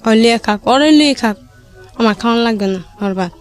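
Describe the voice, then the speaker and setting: High-voiced woman with rural Bangladeshi dialect. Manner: halting, plain-spoken, hard to follow. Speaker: studio guest telling her life story. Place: radio studio. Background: music.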